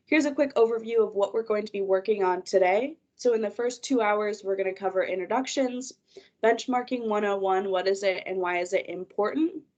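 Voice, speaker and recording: A woman's voice: mid-range voice, fairly quick, darkish tone. A woman, a project manager presenting a webinar over a video call, congested from a cold, guiding listeners through her slides in an organized way.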